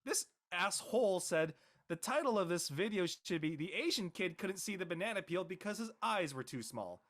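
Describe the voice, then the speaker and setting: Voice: mid-range voice, lively intonation, normal speed, a bit bright. An actor voicing an embarrassed, exasperated teenage boy in a comedy drama, whiny and indignant. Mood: annoyed. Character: embarrassed, exasperated teenage boy who became a viral meme. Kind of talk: comedic scripted audio drama dialogue.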